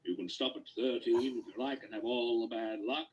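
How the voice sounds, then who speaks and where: An actor playing a wise, testy old wizard, theatrical and authoritative, in a radio drama. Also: male voice, mid-range voice, steady tone, fairly quick, lo-fi sound, a little hiss or hum, close to an everyday voice. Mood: testy, commanding. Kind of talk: British radio drama acting.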